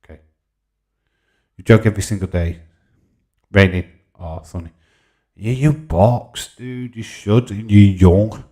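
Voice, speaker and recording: A man's voice: on the low side, takes it slowly, long pauses, mellow. A man in his forties with a Yorkshire accent hosting a casual livestream, reading out comments and bantering informally as he replies. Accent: Yorkshire English. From Yorkshire, England. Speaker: live stream host.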